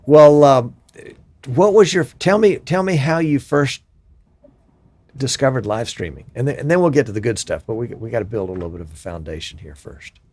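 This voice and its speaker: A middle-aged man hosting a casual streamed talk show, chatty and quick to joke and laugh with his guest. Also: medium pitch, expressive, darkish tone. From Gulf Coast near Mobile, US. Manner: chatty, jokey, laughs easily. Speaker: show host. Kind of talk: casual interview chat.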